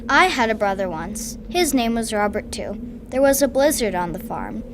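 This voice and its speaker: Young girl acting orphaned farm runaway Olivia, high voice. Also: very animated, normal speed. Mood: matter-of-fact, wistful. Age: child of about 10 or 11. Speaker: school pupil acting. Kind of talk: school radio drama acting. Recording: clearly noisy, with background ambience.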